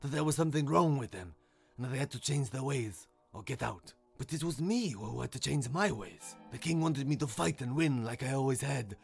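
A man acts out a proud storybook lion who has given up fighting. He is earnest and gentle, with measured, emphatic speech that moves widely in pitch over a music bed. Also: lively intonation, creaky.

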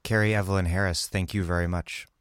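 Low-voiced man hosting a podcast. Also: steady tone, fast, clear, resonant tone, dry recording, studio quality. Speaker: podcast host and interviewer. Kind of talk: podcast interview and scripted hosting.

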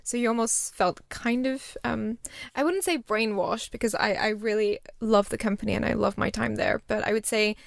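A woman's voice: on the high side, moderate pace, creaky, hardly any pauses, dry recording, clean studio sound. A young woman from Singapore, chatting as an interview guest with lots of fillers and restarts. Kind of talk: casual interview chat.

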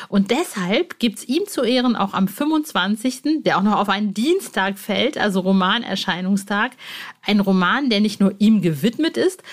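A woman presenting a podcast as host, chatting informally and colloquially to her listeners. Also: mid-range voice.

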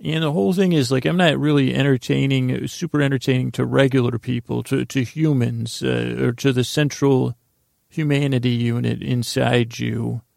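A man hosting a sleep podcast, rambling through a halting bedtime monologue full of restarts and drawn-out pauses. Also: medium pitch, steady tone, vocal fry, darkish tone, no echo, clean studio sound.

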